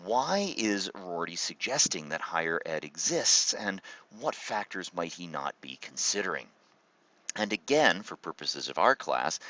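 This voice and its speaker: A man's voice: sits low, pushed and bright, lo-fi sound. A man giving an explanatory lecture to students, posing rhetorical questions and stressing key words. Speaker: university lecturer.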